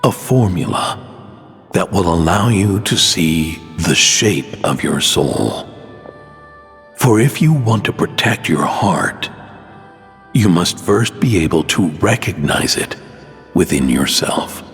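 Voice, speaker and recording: A man playing a gentle, wise scholar in a video game, speaking in a measured, solemn, reflective way with a low, creaky voice. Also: very animated, full character voice. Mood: tender, solemn. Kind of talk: scripted dramatic game monologue. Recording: long hall reverb over music.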